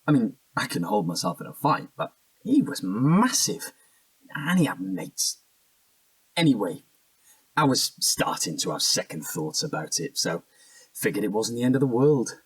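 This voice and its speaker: A husky male voice with lively, swinging pitch, slightly off the mic. an evasive husband in an audio drama, protesting defensively and stumbling over his words when cornered. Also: moderate pace, no room sound, distant mic, low-quality recording. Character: defensive, evasive cheating husband under questioning. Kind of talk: naturalistic audio drama dialogue.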